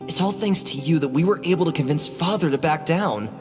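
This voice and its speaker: A woman voicing a calm, supportive young woman in a video game. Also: low voice, a little fast, music in the background, close to an everyday voice. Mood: calm, admiring. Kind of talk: video game character acting. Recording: long reverb.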